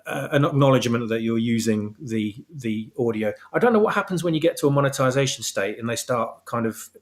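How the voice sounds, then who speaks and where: A man's voice: mid-range voice, lively intonation, fairly quick. A man speaking as a guest in a casual chat, explaining things in a hesitant, rambling way. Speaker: guest, fan fiction author.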